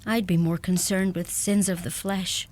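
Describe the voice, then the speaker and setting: An actress playing a self-possessed, tender young bride-to-be in a radio play, speaking directly. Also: female voice, fairly low pitch, level tone, a little slow, darkish tone, slight background noise, close to an everyday voice. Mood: defiant, stirred.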